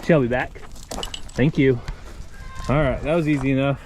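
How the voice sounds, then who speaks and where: A man's voice: medium pitch, steady tone, normal speed, darkish tone, soft background music. A man chatting casually as a farm vlogger, with a plain-spoken, folksy way of talking. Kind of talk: casual vlog chat. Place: outdoors on a farm. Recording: noisy handheld outdoor farm recording.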